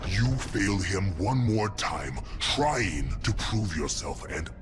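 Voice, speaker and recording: Stern, commanding alien brute warlord over music. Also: male voice, sits low, animated, slow pace. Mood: stern warning. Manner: commanding, scolding, grave. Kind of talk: video game cutscene acting. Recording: loud game music behind the voice.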